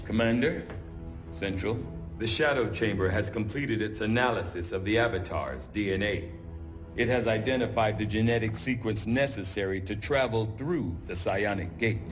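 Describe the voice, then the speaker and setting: An actor playing a formal, analytical scientist in a video game cutscene, speaking precisely and with measure. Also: male voice, lowish voice, moderate pace, close to an everyday voice. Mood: grave, analytical. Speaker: chief scientist. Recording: music behind the voice.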